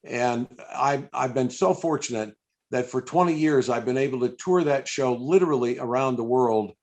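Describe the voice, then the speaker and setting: A man's voice: medium pitch, normal speed, a little mellow. Older american guy doing a chatty interview, kinda effusive, repeats himself, loves reminiscing. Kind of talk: casual, chatty live interview. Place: indoors on a video call.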